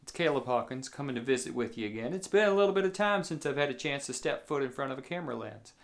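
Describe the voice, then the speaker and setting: A man's voice: medium pitch, lively intonation, quick pace, vocal fry. Folksy storytelling man with a rural Indiana accent. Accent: rural southern Indiana. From southeast Indiana, United States. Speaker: musician and storyteller.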